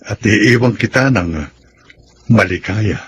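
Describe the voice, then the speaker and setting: An actor playing a devoted, resigned suitor in a Filipino radio drama, speaking with earnest sentiment. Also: male voice, on the low side, takes it slowly, fairly bright tone, slight background noise. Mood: bittersweet, resigned. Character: devoted, resigned male suitor bidding farewell.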